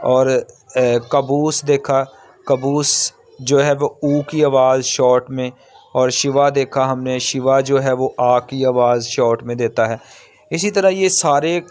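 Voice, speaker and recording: A man giving an informal, step-by-step language lesson in a halting, repetitive way, explaining as a teacher does. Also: mid-range voice, darkish tone. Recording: clearly noisy background.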